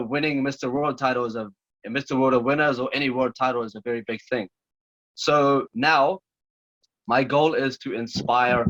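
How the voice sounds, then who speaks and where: A man's voice: mid-range voice, fairly bright tone, no room sound, dull audio. A man from Chechnya, a guest in a casual video-call interview, talking in an earnest, motivational way and often repeating himself.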